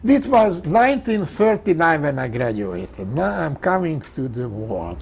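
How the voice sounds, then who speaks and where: An elderly man, a native Hungarian speaker with a Hungarian accent, telling his life story in an emphatic, halting way, often repeating phrases. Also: on the high side, very animated, creaky, darkish tone, slightly rough. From Czechoslovakia, Hungarian-speaking. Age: 80s or older. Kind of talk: oral history interview, personal storytelling.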